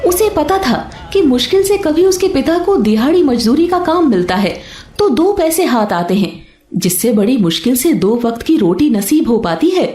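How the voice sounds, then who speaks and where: A woman's voice: high voice, very animated, moderate pace, reverberant. A woman narrator reading a scripted story. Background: soft background music.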